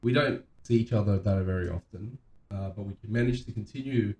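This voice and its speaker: A man in his thirties co-hosting a podcast, chatting casually in a hesitant, halting way. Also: mellow. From Australia. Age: 30s. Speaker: podcast co-host. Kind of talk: casual chat. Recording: roomy echo, faint background noise, low rumble.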